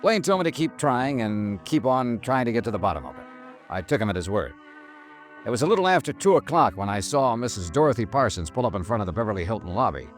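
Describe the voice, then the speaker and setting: A world-weary American insurance investigator from a 1950s radio serial. His dry, clipped noir delivery swings widely in pitch, over a vintage broadcast with faint music behind. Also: sing-song, quick pace. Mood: wry, brooding. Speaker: star narrator of a detective radio serial. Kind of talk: 1950s radio drama acting, first-person noir narration.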